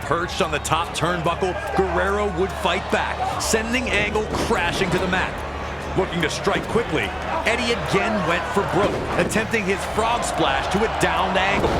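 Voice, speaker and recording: A man delivering dramatic scripted sports narration, recounting wrestling action like a storyteller. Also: sits high. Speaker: match narrator. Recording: loud music behind voice. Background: loud music.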